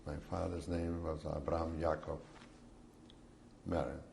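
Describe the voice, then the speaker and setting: A man in his seventies from Poland, giving slow, measured answers in an oral history interview. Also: extremely low pitch, flat delivery, gravelly, darkish tone, slight background noise. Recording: echoey room.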